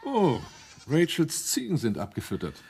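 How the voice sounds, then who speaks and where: An actor playing a sardonic, commanding schemer in a German radio play, giving orders with mocking courtesy. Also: male voice, on the high side, wildly swooping pitch, a little slow, a little mellow, a little hiss or hum, full character voice. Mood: wry, commanding.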